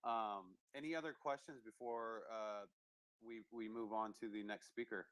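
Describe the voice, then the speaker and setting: A man's voice: lowish voice, steady tone, moderate pace, fairly bright tone, dry recording. A man hosting a live online audio chat, upbeat and casual, thanking speakers and prompting questions. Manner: upbeat, many fillers and restarts while hosting. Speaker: host.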